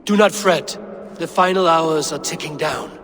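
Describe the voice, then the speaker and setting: An actor playing a stern, impatient Norse god ruler in a video game, grave and measured with an air of authority. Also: male voice, on the high side, fairly bright tone, slight background noise. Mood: grave, resolute. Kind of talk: video game cutscene acting. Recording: reverberant hall with music behind.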